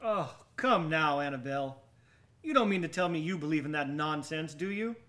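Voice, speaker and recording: High-pitched, haunted young gentleman. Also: expressive, normal speed. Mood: nervous. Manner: theatrical, agitated, old-fashioned diction. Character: haunted, sleepless young gentleman, anxious and volatile. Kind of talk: radio drama acting with dramatic monologue.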